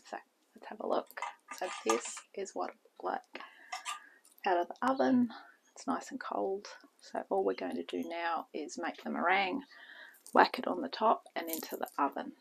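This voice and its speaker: A woman's voice: lowish voice, vocal fry, husky. A middle-aged woman, chatting casually while she cooks in her home kitchen, often trailing off into muttering. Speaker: home cooking channel host. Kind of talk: casual cooking tutorial. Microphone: camera on the counter. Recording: noisy home kitchen recording.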